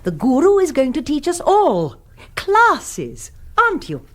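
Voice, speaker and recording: Imperious society hostess for radio comedy: very animated pitch, sugary, pretentious, upper-class English accent. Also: female voice, unhurried, heavily acted. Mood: sweetly domineering. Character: imperious, affected English society lady, sweetly scheming. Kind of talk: radio comedy drama acting.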